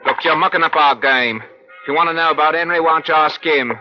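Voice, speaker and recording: Cocky young London tough, highish voice, acted by a man. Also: a little fast, natural voice. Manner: flippant, insolent. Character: cocky young London hoodlum around eighteen to twenty. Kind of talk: old-time radio crime drama acting. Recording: muffled old radio recording, music behind.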